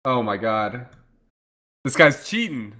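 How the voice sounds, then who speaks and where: A man casting a live online game match, talkative and playful, his voice going hoarse. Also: mid-range voice, sing-song, takes it slowly, pushed and bright. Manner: talkative, playful, hypes the chat. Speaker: esports caster. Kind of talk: casual live game commentary.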